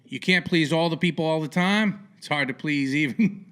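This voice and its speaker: A man's voice: a little high, normal speed, a bit bright, clean tone. A man hosting a sports podcast, asking rambling, opinionated questions in an informal interview style. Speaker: podcast host interviewing a guest.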